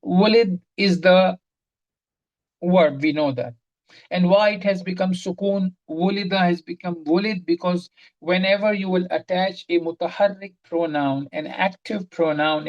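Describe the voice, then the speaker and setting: A woman's voice: on the low side, a little mellow, dull audio. Methodical woman teaching grammar online. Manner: methodical, explains step by step and repeats key terms; quizzes the class directly.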